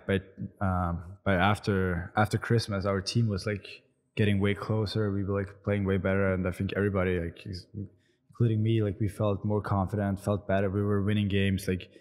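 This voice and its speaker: A young man with an Austrian German accent talks in a lowish voice, feeling his way through each answer with "like" and false starts. His speech trails on in a room with a long, ringing reverb. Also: steady tone. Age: 20s. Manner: halting, many fillers and restarts. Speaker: hockey player guest. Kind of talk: casual interview answers.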